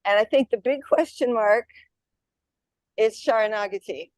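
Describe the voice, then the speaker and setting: A soft-spoken woman giving a devotional talk in a friendly, informal way. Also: on the high side, a little slow, no room sound. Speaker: speaker at devotional gathering.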